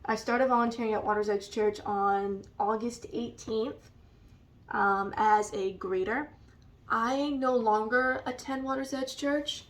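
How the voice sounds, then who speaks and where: A woman with a raspy, allergy-hoarse voice, slowly and hesitantly showing a scrapbook in a casual vlog. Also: a little high, steady tone, recorded from a distance. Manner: slow and hesitant, many ums. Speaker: vlogger. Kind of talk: casual show-and-tell vlog.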